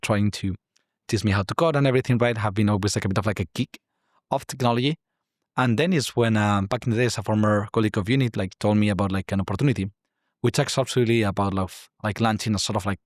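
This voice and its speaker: A man's voice: lowish voice, no echo, studio quality. A man from Spain with a Spanish accent, in his thirties, explaining his career and industry as a podcast guest, peppering his talk with "like" and "right?". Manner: constant filler "like" and tag "right?". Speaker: guest, climate tech investor. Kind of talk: casual interview talk.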